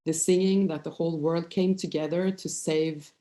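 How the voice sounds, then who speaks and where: A woman's voice: sits low, fairly even intonation, normal speed, warm tone. A woman in her fifties with a Norwegian accent, giving an informal, personal presentation in a hesitant, candid manner. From Norway. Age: 50s.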